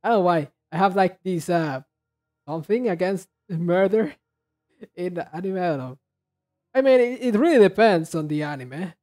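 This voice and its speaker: A man chatting casually and haltingly as he hosts a reaction video, rambling in a low-key way. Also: lively intonation, a little mellow. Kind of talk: casual chat, reacting to game trailers.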